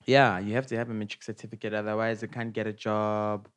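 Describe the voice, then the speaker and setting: Young South African man, relaxed slangy guest, lowish voice. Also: fast talker, clean tone. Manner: laid-back, slangy, rambling with fillers. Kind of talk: casual interview chat.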